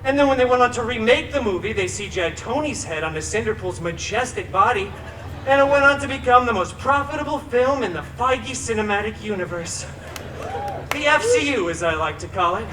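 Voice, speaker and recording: A man's voice: extremely high pitch, expressive, fairly quick, noisy background. A man playing a flippant, theatrical antihero who tells a comic fairy tale to a live audience with campy asides. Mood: smug, joking. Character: cheeky, theatrical male antihero telling his own fairy tale. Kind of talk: live comic storytelling show. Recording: echoey theme park PA, distant, music behind.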